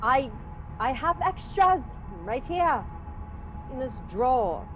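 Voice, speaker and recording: A genteel, nervous, evasive widow played by a woman in an old-time radio play. Her high voice moves slowly and haltingly, ladylike, over clear music. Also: very animated, fairly bright tone, full character voice, rough recording. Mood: rattled.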